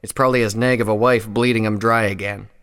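A man's voice: on the low side, fairly even intonation, quick pace, fairly bright tone, smooth. A man voicing a sour, scornful male pony in a story, muttering and sardonic. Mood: dismissive.

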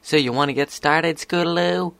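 A man voicing a caring, excitable young female pony in a story reading. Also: a little high, normal speed, creaky, no room sound, pitched above the performer's own voice. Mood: friendly.

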